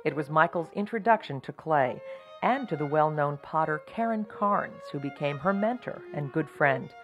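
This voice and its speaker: Woman narrator, scripted documentary, measured delivery, lowish voice, music bed. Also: lively intonation, dull audio.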